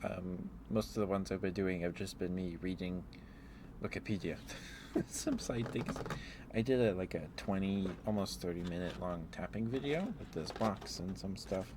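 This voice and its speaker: Low-pitched man rambling softly in ASMR. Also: moderate pace, noisy background. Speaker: ASMR streamer hosting a live stream. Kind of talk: rambling ASMR, casual chat.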